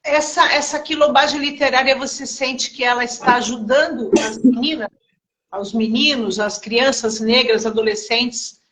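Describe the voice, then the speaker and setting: A woman's voice: a little high, steady tone, moderate pace, fairly bright tone, poor audio. A woman hosting a casual live-stream interview, chatty and full of tag questions. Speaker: interview host. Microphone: remote call over a live stream.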